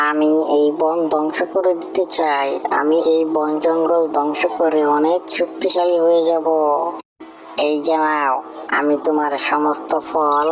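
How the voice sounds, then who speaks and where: A performer as a wicked cartoon crow, flatly menacing. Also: mid-range voice, lightly acted, low-quality recording. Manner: curt, menacing. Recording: music behind the voice.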